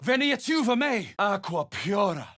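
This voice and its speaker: Fantasy game mage casting spells, high for a man, declaiming commands, very dry close sound. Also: very animated, sharp tone, dry recording, full character voice. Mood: commanding. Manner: declamatory, drawn-out chanting. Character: male spellcaster crying out Latin-sounding incantations, commanding.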